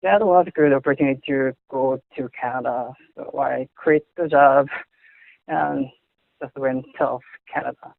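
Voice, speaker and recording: A young Korean man speaking English with a Korean accent as an interviewee, halting and searching for words, often restarting. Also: mid-range voice, steady tone, vocal fry, no room sound. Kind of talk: casual conversation in English. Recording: tinny phone-like audio.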